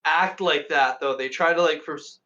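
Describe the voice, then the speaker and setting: A man's voice: a little high, moderate pace, a crowd in the background. A man in a casual reaction chat, blunt and profane, rambling with lots of "like.". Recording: dull lo-fi voice call.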